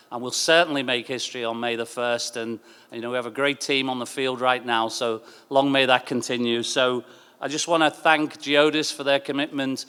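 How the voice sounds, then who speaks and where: A man giving a thank-you speech as a sports club executive, phrasing it in a halting way with repeated words. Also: mid-range voice, a bit bright, smooth. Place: large stadium venue. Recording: long echoing stadium PA reverb.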